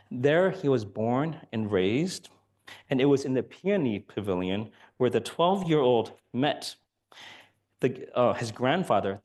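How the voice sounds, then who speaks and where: A hoarse man giving an academic lecture, speaking softly with many ums and uhs. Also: mid-range voice. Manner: soft-spoken, many fillers. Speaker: guest lecturer.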